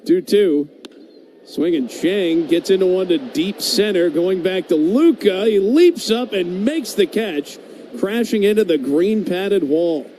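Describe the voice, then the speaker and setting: A man's voice: sits high, takes it slowly. A man working as a baseball play-by-play announcer on live radio, mixing calls with casual banter over stadium ambience. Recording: steady ballpark background noise.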